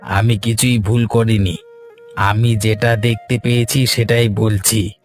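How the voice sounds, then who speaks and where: A man's voice: fairly low pitch, little pitch movement, slow, soft background music. A man playing a scheming, insinuating henchman to a crooked village boss in a cartoon. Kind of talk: cartoon voice acting.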